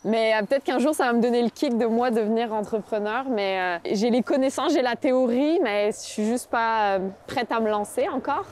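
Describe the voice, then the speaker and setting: A woman's voice: a little high, a little mellow. Chatty woman from France. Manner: chatty, thinks aloud with many pauses. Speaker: interviewee. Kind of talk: casual conversation.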